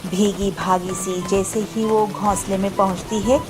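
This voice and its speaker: A woman's voice: mid-range voice, level tone, a little slow. A woman narrating a children's moral story as a scripted storyteller. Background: music behind the voice.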